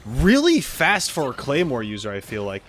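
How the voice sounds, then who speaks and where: A man's voice: medium pitch, huge pitch swings, normal speed, a bit bright, a little hiss or hum. A man giving casual gaming reaction commentary as a video host, informal and exclamatory, thinking aloud as he goes. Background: background game music.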